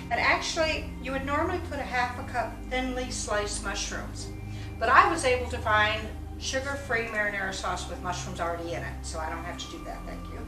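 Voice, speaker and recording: An older woman demonstrating a recipe in her home kitchen, chatting casually and correcting herself as she works. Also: a little high. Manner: chatty, self-correcting, talks while working with her hands. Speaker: home cooking vlogger. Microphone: far camera. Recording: roomy kitchen sound, mic at a distance, music behind.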